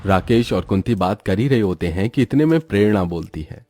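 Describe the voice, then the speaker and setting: A man's voice: on the low side, lively intonation, a little fast, warm tone, no room sound. A man narrating a scripted cartoon moral story as its storyteller.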